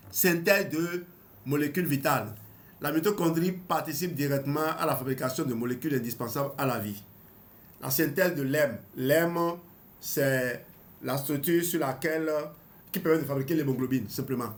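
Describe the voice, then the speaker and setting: A man in his fifties reading a health book aloud and adding his own comments, often hesitating and restarting. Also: medium pitch. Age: 50s. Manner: hesitant, with restarts and small laughs. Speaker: health channel host.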